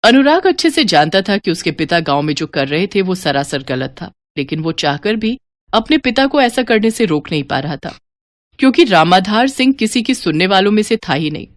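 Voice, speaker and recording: A woman narrates a scripted tale. Her voice sits at a middle pitch, and she tells events as a storyteller. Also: big pitch swings, normal speed.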